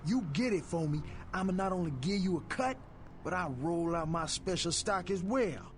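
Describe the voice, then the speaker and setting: A man voicing a fast-talking, sleazy, heavyset street fence in a video game, full of slangy hustler patter. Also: high-pitched, animated, soft background music. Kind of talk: video game character dialogue.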